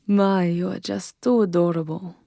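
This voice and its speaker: A woman's voice: lowish voice, fairly even intonation, dark tone, no echo, studio quality. A woman playing an ancient, possessive vampire lover in an intimate ASMR roleplay, haughty and often whispering. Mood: condescending, adoring. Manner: slow, breathy, haughty, often dropping to a whisper.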